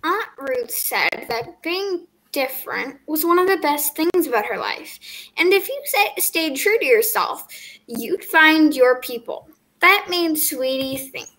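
A woman's voice: sits high, very animated, measured pace, bright, forceful tone, rough recording. A teenage girl in a drama club reading a picture book aloud over a video call. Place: indoors at home on a video call.

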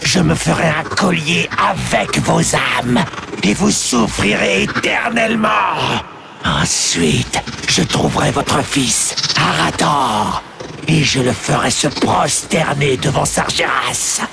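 An actor playing a cruel demon assassin who snarls and shouts threats with manic rage. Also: male voice, fairly high pitch, very animated, a little slow, gravelly, full character voice. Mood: furious. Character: raging mad male demon assassin, cruel. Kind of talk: audio drama acting. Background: music.

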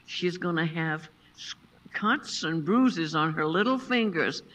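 An older woman who grew up speaking Passamaquoddy first, telling stories at a seminar and pausing to find her English words. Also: fairly low pitch, lively intonation, fairly bright tone. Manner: unhurried, pauses to find English words, laughs often. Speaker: traditional basket maker, seminar guest. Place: lecture room, seated at a table with a panel. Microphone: far room camera. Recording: distant voice in a lecture room.

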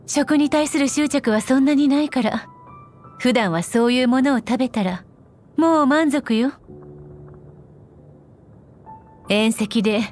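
Slow, elegant noblewoman. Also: on the high side, darkish tone. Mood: poised, unhurried. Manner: elegant, composed, teasing, deliberate. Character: poised, shrewd, wealthy noblewoman trader and ruler. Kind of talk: scripted anime game character voice lines.

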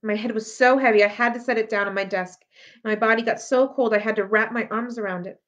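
A woman's voice: fairly high pitch, steady tone, quick pace, fairly bright tone. A woman reading a children's novel aloud expressively, as a library storyteller. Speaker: library read-aloud reader. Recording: dull lo-fi audio.

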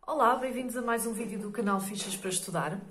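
A hoarse middle-aged woman with a European Portuguese accent, teaching a maths lesson patiently, checking in often with "ok?" and many fillers. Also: on the high side, fast, a bit bright, reverberant, a little hiss or hum. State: hoarse from a cold.

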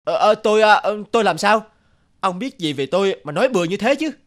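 A man's voice: sits high, animated, fairly quick, fairly bright tone. A man voicing a boastful, hapless young repairman in a cartoon, with an exaggerated, theatrical delivery. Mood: flustered. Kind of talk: cartoon dubbing.

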